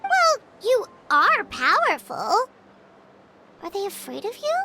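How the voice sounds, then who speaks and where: An actress voicing a tiny, innocent, earnest childlike companion in a video game, with a squeaky voice. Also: female voice, huge pitch swings, takes it slowly, very noisy, heavily acted. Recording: loud music behind the voice.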